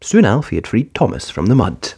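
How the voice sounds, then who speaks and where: A narrator reading a children's picture book aloud in an expressive storytelling style. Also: mid-range voice, a little fast, vocal fry, husky.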